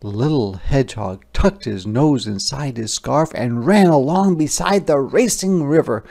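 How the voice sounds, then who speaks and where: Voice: medium pitch, lively intonation, a little slow, gravelly, warm tone. A teacher reading a story aloud to young children, expressively, with casual explanations and questions. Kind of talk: interactive children's story narration with casual asides.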